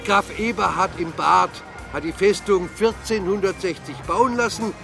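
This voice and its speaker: Bookish, chatty male sidekick, radio crime play, higher register, music underneath. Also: moderate pace, gravelly. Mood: nostalgic. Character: chatty, bookish male bookseller, detective's good-natured buddy. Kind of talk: German radio crime drama dialogue.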